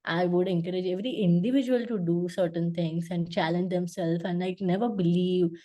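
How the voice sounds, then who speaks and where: A woman's voice: fairly low pitch, fairly even intonation, a little fast, warm tone, muffled recording. A young woman from India with a Gujarati-accented English, speaking earnestly as an interview guest and using many fillers. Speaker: guest, UX designer. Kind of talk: casual interview talk.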